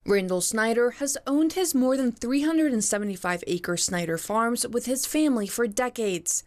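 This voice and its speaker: A woman's voice: fairly high pitch, animated, moderate pace, a little mellow. Female TV news reporter reading a script.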